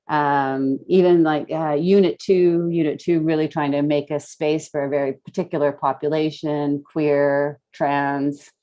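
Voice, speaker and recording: Low-pitched woman speaking in a meandering way. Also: darkish tone. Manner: hesitant, meandering, reflective. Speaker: guest speaker, gallery curator. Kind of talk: casual storytelling in an online talk.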